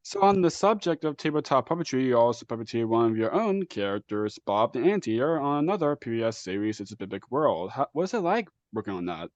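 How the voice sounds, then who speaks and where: A man's voice: mid-range voice, sing-song, fast, hardly any pauses, no room sound. Male interviewer, dull dry lo-fi sound. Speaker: interviewer, podcast host. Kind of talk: casual conversational interview.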